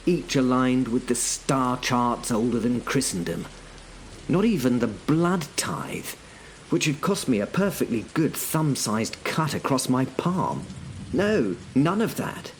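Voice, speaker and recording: A man's voice: medium pitch, slight background noise. A man playing a wry, grumbling professor-mage, delivering an audio drama monologue in a dry, lecturing way.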